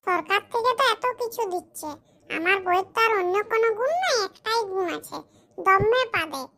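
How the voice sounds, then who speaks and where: A performer voicing a boastful, scheming village man in a cartoon, with a smug, slow, explaining manner. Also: very high voice, expressive, bright, forceful tone, heavily acted. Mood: bragging. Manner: smug, bragging, explains his schemes slowly. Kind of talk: cartoon comedy voice acting.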